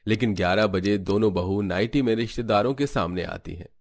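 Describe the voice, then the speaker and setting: A man with a fairly low voice narrates a domestic story. He reads from a script as a storyteller for a cartoon. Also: fairly quick.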